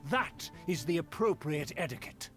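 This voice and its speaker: A man's voice: on the high side, sing-song, moderate pace, loud music behind the voice. A man voicing a pompous, disdainful nobleman in a video game, speaking in formal, lecturing phrases. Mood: haughty, scornful. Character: haughty, pompous male nobleman of a declining clan.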